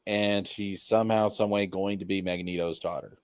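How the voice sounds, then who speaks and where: A man's voice: low voice, fairly even intonation, normal speed, dry recording. A man co-hosting a casual, sweary chat among friends. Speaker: co-host in a group chat.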